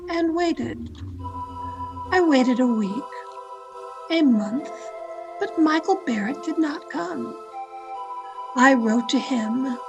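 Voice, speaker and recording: A woman playing an obsessive, lovestruck mystery novelist in melodramatic old-time radio style, with pleading, deliberate delivery. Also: high voice, heavily acted. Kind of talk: 1940s radio mystery acting and narration. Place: at home, online video-call performance.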